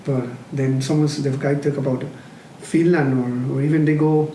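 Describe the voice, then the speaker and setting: A chatty man hosts a casual conversation, his voice fairly high yet mellow. He sits away from the mic in an echoey room with faint background noise, asking curious, easygoing questions. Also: level tone, moderate pace, recorded from a distance. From Costa Rica. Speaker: podcast host. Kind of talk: casual podcast chat.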